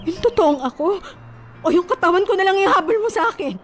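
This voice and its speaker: A woman's voice: very high-pitched, lively intonation, brisk, soft background music. An actress playing an affectionate, hurt young wife and mother in an emotional, pleading Filipino radio drama style. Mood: hurt, reproachful.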